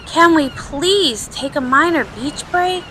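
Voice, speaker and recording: A woman's voice: high voice, takes it slowly, background noise. A woman voicing a self-absorbed, dramatic young princess pony with a sassy, whiny manner. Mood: exasperated. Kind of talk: cartoon voice acting in a comic read-along.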